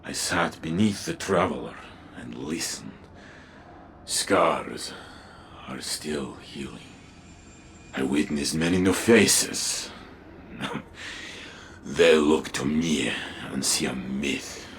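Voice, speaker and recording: Game role: kindly old war legend, extremely low and gravelly, humble and reflective. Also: male voice, slow pace, long pauses, a bit bright, a little hiss or hum, exaggerated voice. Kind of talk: video game story dialogue.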